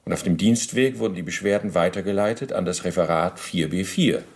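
An actor playing a bureaucratic, self-justifying Nazi war criminal under interrogation in a radio play, speaking formally and officiously. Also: male voice, low voice, brisk. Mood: matter-of-fact. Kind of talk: German radio docudrama interrogation acting.

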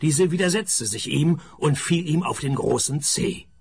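A man playing a sarcastic private detective in a radio crime comedy, narrating and talking with deadpan dryness. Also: on the high side, unhurried, gravelly, breathy edge, full character voice. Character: wry, sarcastic male private detective narrating his case.

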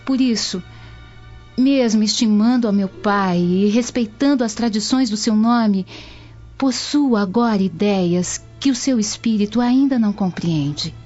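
A woman acts a serene young Roman believer with a Brazilian accent. She speaks formally and earnestly in a warm, mellow tone. It is a slightly dull radio drama recording with music behind. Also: medium pitch, measured pace, close to an everyday voice. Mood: calm, earnest.

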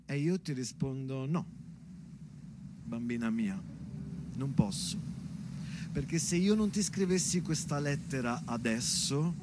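A man performing a theatrical monologue like a spoken letter, deliberate and measured, pausing between short phrases. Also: mid-range voice, animated, noisy background. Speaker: stage actor performing his own monologue.

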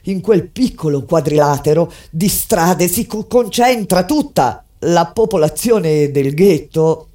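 An actress playing a grave witness of a wartime tragedy, narrating in a deliberate, solemn theatrical style with dramatic pauses. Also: female voice, on the low side, lively intonation, warm tone. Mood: grave, subdued. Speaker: stage actress. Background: music.

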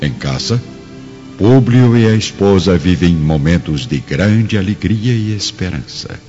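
A Brazilian man narrates a radio drama solemnly in a very low voice. His delivery is dramatic and animated, on a somewhat dull, lo-fi recording. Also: a little slow. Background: clear background music.

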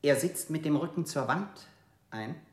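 An actor playing a male interrogator who asks word-association questions in a measured, insistent way. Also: on the high side, lively intonation, echoey room. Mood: clinical. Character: cold male interrogator, clinical and persistent. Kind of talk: radio drama acting.

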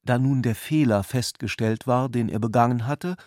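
A man reading literary narration for a radio drama in a measured, articulate way. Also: mid-range voice, darkish tone, no echo, clean studio sound.

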